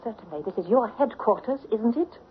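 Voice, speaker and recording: A woman's voice: on the high side, lively intonation, a little fast, natural voice. Woman acting a bold, theatrical actress, tinny radio. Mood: cool, accusing. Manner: theatrical, coolly taunting. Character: shrewd, bold French stage actress confronting a killer. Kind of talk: old time radio mystery acting. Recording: tinny, noisy old radio recording.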